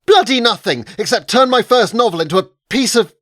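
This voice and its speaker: Brief: male narrator as an angry writer, loud and high, dry read. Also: huge pitch swings, moderate pace, dry recording, heavily acted. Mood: furious. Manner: indignant, shouting. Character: frustrated male novelist, quick to anger.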